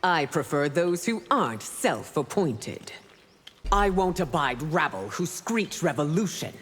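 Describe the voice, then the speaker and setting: A proud female ruler from a fighting game speaks with formal scorn. Her voice is fairly low and husky with dramatic swings, ringing in a hall. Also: big pitch swings, measured pace, exaggerated voice. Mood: haughty, scornful. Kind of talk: fighting game character acting. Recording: reverberant hall.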